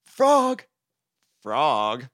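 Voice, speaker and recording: A man's voice: medium pitch, lively intonation, measured pace, no room sound, clean studio sound, voice pushed up. A man voicing a hysterical, emotional toad in a comic storybook reading, melodramatic and given to silly singing. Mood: ecstatic. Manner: melodramatic, foul-mouthed, breaking into silly singing. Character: hysterical, dim, emotional male cartoon toad.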